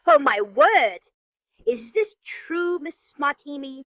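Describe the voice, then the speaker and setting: An actress playing a gracious, genteel society hostess in an old-time radio drama, polite and effusive. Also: female voice, high voice, lively intonation, a little slow, no room sound, highs cut off. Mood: shocked. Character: gracious, genteel wealthy hostess, easily shocked.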